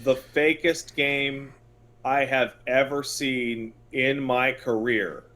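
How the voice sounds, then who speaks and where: A man's voice: medium pitch, steady tone, slow, fairly bright tone. A man co-hosting a video game podcast in casual conversation, giving blunt, sarcastic, exasperated opinions.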